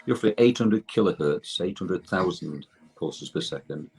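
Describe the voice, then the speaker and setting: An older man with a husky voice explains technical material as a guest expert, haltingly and often correcting himself mid-sentence. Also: low-pitched, animated, a little mellow.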